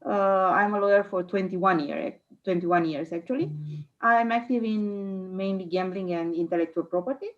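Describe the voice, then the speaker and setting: A woman's voice: medium pitch, moderate pace. A woman, speaking as a panelist on a video call, matter-of-fact. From Romania. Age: 40s. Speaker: panelist, lawyer. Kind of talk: online panel discussion. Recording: dull lo-fi call audio.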